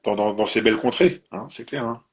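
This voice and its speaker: Man, husky voice, lowish pitch, meandering live-stream host, chatty tags. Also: little pitch movement, brisk. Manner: meandering, tags sentences with "hein". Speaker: host of a live winery presentation.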